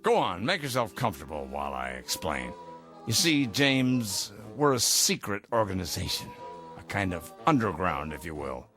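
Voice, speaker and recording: A man's voice: sits low, very animated, normal speed, fairly bright tone, soft background music, full character voice. A man playing an eager older underground jokester in an audio drama, coaxing a recruit in a theatrical way.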